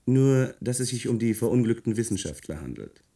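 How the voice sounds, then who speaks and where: An actor playing an earnest, troubled young man fresh from his studies in a German science-fiction radio play, sometimes halting as he speaks. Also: medium pitch, sing-song, mellow, slightly rough, no echo, studio quality. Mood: curious, polite. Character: earnest young male communicator, recently graduated, troubled by guilt.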